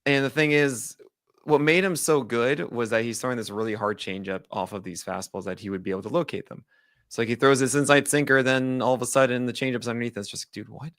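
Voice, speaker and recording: Male podcast co-host, excitable and jokey, bright tense tone, close dry mic. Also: medium pitch, fast, dry recording. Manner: animated, joking, lots of "like" fillers. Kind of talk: casual baseball analysis chat.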